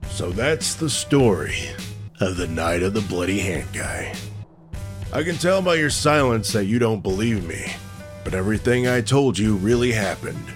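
An actor playing a wry, deadpan bartender who hosts spooky tales in a comedy horror radio play. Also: male voice, lowish voice, moderate pace, music in the background, lightly acted.

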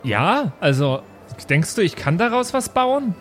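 A man playing a naive, trusting, well-meaning fellow in an improvised tabletop game, speaking haltingly and earnestly. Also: high voice, big pitch swings, soft background music, voice pushed up. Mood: earnest, puzzled.

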